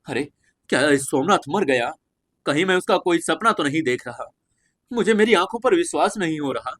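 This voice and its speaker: An astonished young man in a fantasy crowd, played by a male storyteller. His voice sits a bit high and he exclaims everything with open disbelief. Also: lively intonation, normal speed, a bit bright. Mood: shocked. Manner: incredulous, exclaiming.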